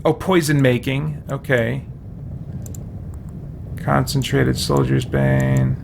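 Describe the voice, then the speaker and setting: A man's voice: medium pitch, big pitch swings, slow. A man giving casual let's-play commentary, thinking aloud as he plays, with noise behind him. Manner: thinks aloud while playing. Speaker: host of a gaming channel playing a role-playing game. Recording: clear background noise.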